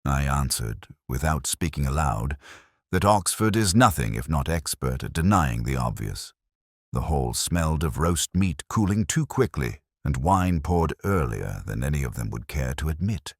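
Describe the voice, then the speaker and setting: A man narrating a literary detective story in the first person, in a measured, solemn and reflective manner. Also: very deep voice, sing-song, no echo, clean studio sound.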